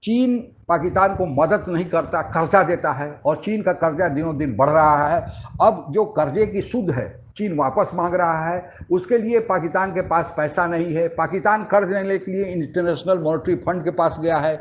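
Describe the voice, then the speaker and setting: A man delivers explanatory commentary in a fairly high voice. The recording is muffled and low in fidelity, with some noise underneath. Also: normal speed. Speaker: commentator and channel narrator. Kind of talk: explanatory political commentary voice-over. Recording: dull lo-fi sound, audible background noise.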